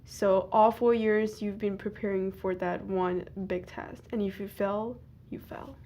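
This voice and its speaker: A teenage student from China speaking earnestly in a documentary interview. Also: female voice, mid-range voice, fairly even intonation, measured pace, warm tone. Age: teens. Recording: faint music underneath.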